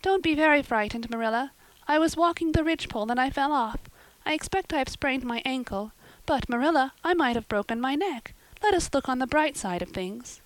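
A woman voicing a dramatic, imaginative young girl with earnest, high-flown phrasing. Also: lively intonation, brisk, dry recording, poor audio. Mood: plaintive.

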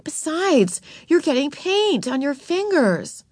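A woman's voice: high voice, sing-song, normal speed, a bit bright, no echo, clean studio sound, pitched above the performer's own voice, heavily acted. A woman voicing a bossy, exasperated schoolgirl big sister who scolds and gives orders in a storybook. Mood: correcting. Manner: scolding, correcting, giving orders.

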